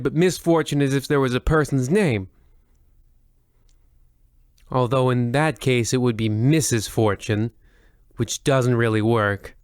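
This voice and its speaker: A man's voice: medium pitch, no room sound, studio quality, close to an everyday voice. A man playing a theatrical, ominous host narrating a parody of 1940s radio horror. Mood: rambling, explanatory.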